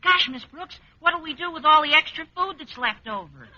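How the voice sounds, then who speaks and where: High squeaky pitch, gushing teenage boy, earnest, vintage radio comedy, muffled and very noisy. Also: lively intonation, moderate pace. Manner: gee-whiz, earnest, exclamatory. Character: breezy, gushing, high-voiced teenage schoolboy. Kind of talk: 1940s-50s radio sitcom acting. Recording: muffled old radio recording, loud noise, clicks.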